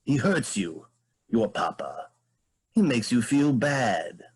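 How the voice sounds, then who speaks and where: Casting: male reader as imperious moose queen, mid-pitched husky voice, dryly exasperated, persuasive. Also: very animated, takes it slowly, a little mellow, exaggerated voice. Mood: coaxing. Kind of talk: staged play reading.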